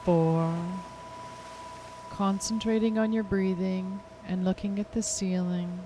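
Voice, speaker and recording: A woman leading a guided hypnosis meditation in a soothing, lulling, unhurried way. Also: mid-range voice, steady tone, warm tone, a little hiss or hum. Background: music.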